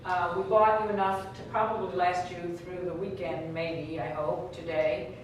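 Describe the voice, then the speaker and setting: A woman with a somewhat low voice, briefing a group about arrangements. She talks hesitantly, with a lot of fillers and restarts. The recording is far off in a very echoey room with clear background noise. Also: little pitch movement, moderate pace. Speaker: program organizer. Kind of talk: informal briefing.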